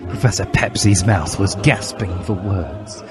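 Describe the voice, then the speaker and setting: A man's voice: low voice, unhurried. Theatrically emphatic man narrating over music. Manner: mock-serious, theatrically emphatic. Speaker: reader. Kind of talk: dramatic reading of comic fan fiction. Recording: music behind the voice.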